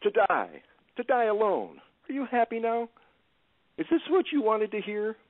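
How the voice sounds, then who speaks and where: A man's voice: high voice, wildly swooping pitch, normal speed. A man acting in an audio play as a frightened aging drunkard, stammering, evasive and defensive. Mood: panicked, pleading. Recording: tinny phone-like band.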